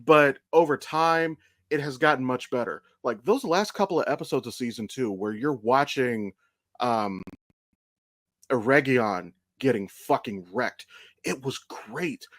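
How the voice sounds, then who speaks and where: A man talks as a podcast co-host in a medium-pitched voice. He lays out his fan opinions in casual, sweary chat, with very little room sound around him. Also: unhurried, a bit bright, dry recording, studio quality. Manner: sweary, explanatory fan talk. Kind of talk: casual chat, opinionated pop-culture commentary.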